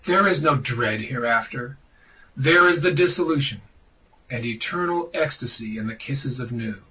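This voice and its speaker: A man reading a religious text aloud in a solemn, dramatic style, declamatory and deliberate, with emphatic pauses. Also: medium pitch, expressive, sharp tone, slightly rough, far from the mic, rough recording. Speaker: podcast reader of esoteric texts.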